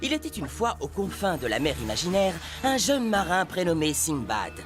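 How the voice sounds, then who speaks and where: A man's voice: sits high, wildly swooping pitch, over music. A man voicing an exuberant, boastful pirate genie who tells stories in a children's cartoon with grandiose showmanship. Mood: narrating playfully. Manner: grandiose, drawn-out showman delivery. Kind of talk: theatrical children's storytelling, animated cartoon.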